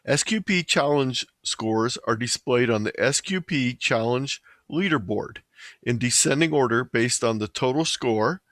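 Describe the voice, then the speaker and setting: A man narrating a scripted slideshow presentation in a measured, slightly halting way, stumbling over the odd word. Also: mid-range voice, a bit bright, dry recording. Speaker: presenter of an amateur radio slideshow.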